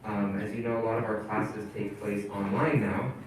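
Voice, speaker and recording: A man leading a community town hall, talking in a halting, casual way with many fillers and restarts. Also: lowish voice, fairly even intonation, a little hiss or hum. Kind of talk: casual spoken presentation. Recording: echoey hall, voice from far off.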